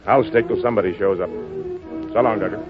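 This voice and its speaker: A man's voice: on the low side, fast talker, over loud music, close to an everyday voice. An actor playing a tough, slangy, commanding man in 1930s radio drama style, curt and emphatic. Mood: gruff, bitter. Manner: curt, hard-boiled, emphatic. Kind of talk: 1930s American radio acting. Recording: muffled lo-fi 1930s radio broadcast.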